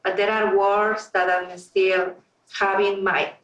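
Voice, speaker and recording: A woman's voice: mid-range voice, flat delivery, slow pace, a bit bright. A middle-aged woman from Peru with a Spanish accent, speaking earnestly to a club meeting and searching for words. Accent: Peruvian Spanish. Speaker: club member. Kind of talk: informal speech to a club meeting. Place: meeting room. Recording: roomy sound from a distant mic.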